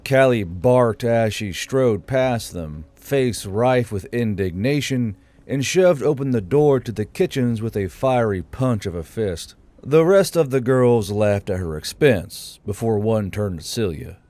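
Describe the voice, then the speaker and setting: A man's voice: mid-range voice, lively intonation, unhurried, hardly any pauses, mellow. A man reading scripted narration for a fantasy audiobook as its narrator.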